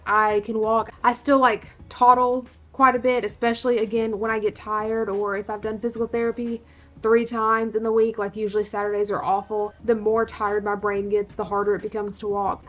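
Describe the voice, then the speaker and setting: Woman, vlog host, slightly hoarse, breathy pauses, casual unscripted talk, faint music behind. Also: a little high. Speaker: reptile channel host. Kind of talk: casual vlog update to viewers.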